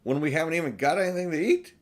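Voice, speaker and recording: A man's voice: a little high, expressive, racing, pitched above the performer's own voice, lightly acted. A man voicing a sullen, grumbling schoolboy in a storybook.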